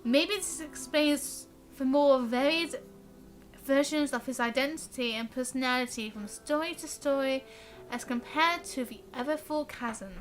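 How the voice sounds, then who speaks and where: A woman's voice: sits high, normal speed, faint music behind. A woman live streaming a game, reading its dialogue aloud and adding short casual reactions.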